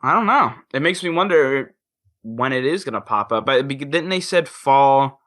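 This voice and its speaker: Male podcast panelist, bright and forceful tone, casual, repetitive phrasing. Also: medium pitch, lively intonation, normal speed. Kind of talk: casual chat.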